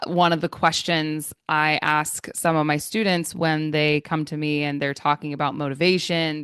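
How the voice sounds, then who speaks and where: American woman, English trainer; low, slow, smooth voice, dry close sound, measured and reflective. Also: fairly bright tone, no echo. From United States. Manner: thoughtful, measured, with frequent fillers. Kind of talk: conversational interview answers. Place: Florida.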